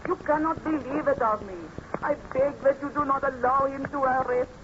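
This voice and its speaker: An accused servant girl played by a woman in a vintage radio drama. She protests earnestly and melodramatically, both defiant and pleading. The sound is muffled with clear noise over it. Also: sits high, steady tone, normal speed, lightly acted. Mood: desperate pleading. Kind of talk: old-time radio drama acting. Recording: muffled, noisy old radio recording.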